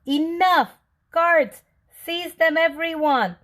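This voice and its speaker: A woman's voice: extremely high pitch, takes it slowly, a bit bright, voice pushed up, exaggerated voice. A woman voicing a wicked, bossy chimpanzee mayor from a storybook, bellowing and wailing furious commands. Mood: furious, commanding.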